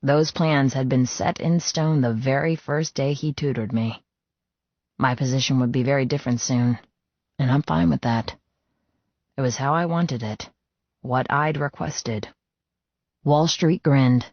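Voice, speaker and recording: A man's voice: mid-range voice, measured pace, dry recording, muffled recording. A man reading first-person fiction as a dramatic, scripted audiobook narration.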